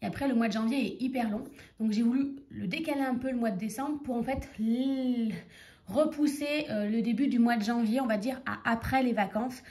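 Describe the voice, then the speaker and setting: Higher-voiced woman in her thirties with a cold. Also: fairly quick, warm tone. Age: 30s. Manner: chatty, rambling, counts money aloud. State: has a cold, stuffy nose. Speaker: budgeting vlogger. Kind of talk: casual chat to camera.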